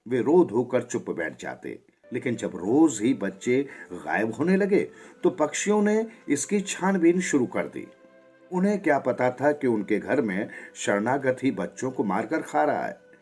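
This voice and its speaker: A man's voice: mid-range voice, a little mellow. A man narrating a scripted moral story expressively as a storyteller, with music behind him.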